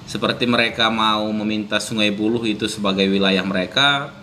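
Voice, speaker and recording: A man's voice: on the low side, steady tone, fairly quick, sharp tone, a little hiss or hum. A man with an Indonesian accent hosting a reaction video, chatting casually and calling viewers boss. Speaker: reaction channel host.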